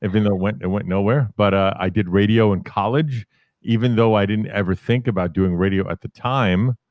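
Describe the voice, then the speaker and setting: A man in his fifties from New York City with a deep voice, telling rambling, self-correcting stories about his career as a podcast guest. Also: fairly quick, darkish tone, no echo, clean studio sound. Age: 50s. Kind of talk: casual storytelling interview.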